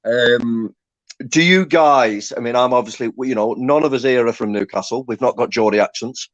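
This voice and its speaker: A man's voice: mid-range voice, normal speed, dry recording. Chatty matey man, muffled dry audio. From Lancaster, England. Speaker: fan forum host. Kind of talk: casual fan chat.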